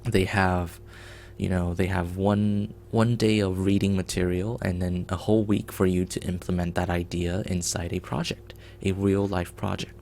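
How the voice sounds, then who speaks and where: A man's voice: low-pitched, little pitch movement, moderate pace, creaky, hardly any pauses, darkish tone, slight background noise. A man hosting a programming livestream, explaining in a rambling casual way with many ums and restarts.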